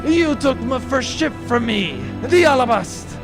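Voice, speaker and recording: A man's voice: very high-pitched, very animated, measured pace, heavily acted. A man voicing a blustering, vengeful alien barracuda pirate villain in a comic audio adventure, with theatrical menace. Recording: loud music behind the voice.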